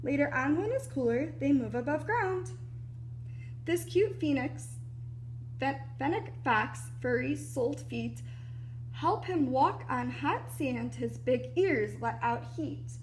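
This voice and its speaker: A woman reading a children's picture book aloud like a preschool teacher, animated and explanatory, with little asides to young listeners. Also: sits high, takes it slowly, a little hiss or hum, low-quality recording.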